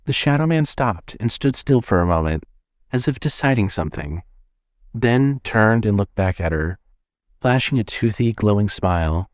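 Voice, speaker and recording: A man's voice: fairly low pitch, expressive, moderate pace, no echo, studio quality. A man giving scripted narration as the narrator of a fiction podcast.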